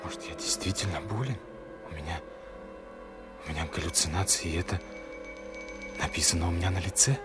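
An anxious, stammering young man. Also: low voice. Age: young adult. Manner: halting, stammering, repeating words. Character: anxious young golfer fearing he is going mad. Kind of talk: audio drama acting.

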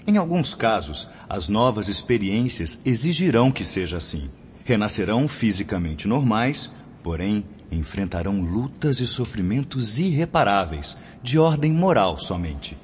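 An actor playing a calm, didactic male guide from the spirit world in a radio drama, speaking in a solemn, measured way. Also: lowish voice. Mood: solemn, explanatory. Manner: solemn, instructive, measured enunciation. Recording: muffled, reverberant hall, noisy radio-drama recording.

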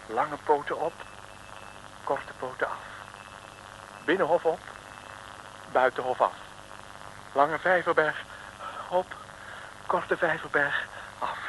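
A man's voice: fairly high pitch, takes it slowly, long pauses, a bit bright. A man narrating a scripted 1960s radio comedy serial with theatrical, rhythmic storytelling. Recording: noisy old radio recording.

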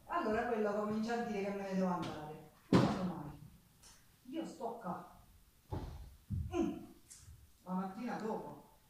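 A woman's voice: sits high, bright, forceful tone, slight background noise, full character voice. An actress playing a poor, rebellious Sicilian woman in a theatrical monologue, earthy and defiant, with a Sicilian accent. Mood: indignant. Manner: earthy, defiant, dramatic storytelling. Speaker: stage actor and singer. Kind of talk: theatrical monologue with folk singing. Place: theatre stage. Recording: echoey theatre, distant mic, guitar music. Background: acoustic guitar.

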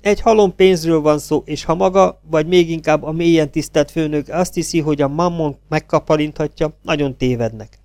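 A man's voice: a little high, a little mellow, no room sound, studio quality, voice pushed up, close to an everyday voice. A man voicing a cocky, wisecracking male private detective in a crime story reading. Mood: wry. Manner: wry, joking.

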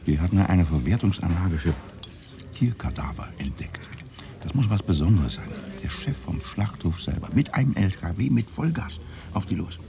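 Deep-voiced, wry local man. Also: lively intonation, normal speed, vocal fry. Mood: confiding. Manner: dry, casual muttering with sudden emphatic protests. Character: gruff, wry local man, minder to a radio reporter. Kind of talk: naturalistic radio drama acting.

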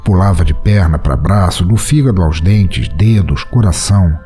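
A man with a Brazilian Portuguese accent, hosting a podcast and reading a short story aloud with theatrical, playful pauses. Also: deep voice, expressive, mellow, soft background music. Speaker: podcast host and story reader.

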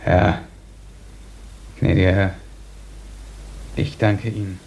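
A man's voice: low-pitched, takes it slowly, creaky, full character voice. An actor playing a broken, obedient male serf in a 1950s German radio drama, answering in a subservient way on a muffled, noisy old recording. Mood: subdued, obedient. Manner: flat, subservient replies.

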